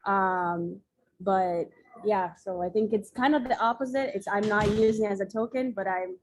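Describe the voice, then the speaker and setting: A woman from Brazil, a workshop participant, speaking quickly and casually with "uh" fillers. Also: mid-range voice, a little hiss or hum, rough recording.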